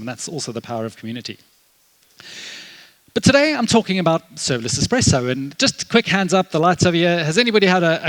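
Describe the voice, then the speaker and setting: A man's voice: fairly high pitch, fairly bright tone. A middle-aged man with a South African accent giving a chatty, self-deprecating conference talk on stage, full of fillers and restarts. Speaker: conference speaker, developer advocate. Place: large converted warehouse venue.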